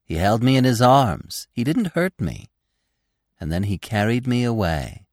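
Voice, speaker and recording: Man acting a young storybook deer, boastful, naive, higher-pitched, very animated delivery. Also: a little slow, no room sound, clean studio sound. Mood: awed, dramatic. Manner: calm, superior, self-satisfied. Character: boastful, naive young male deer, proudly telling wonders. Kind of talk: storybook character voice.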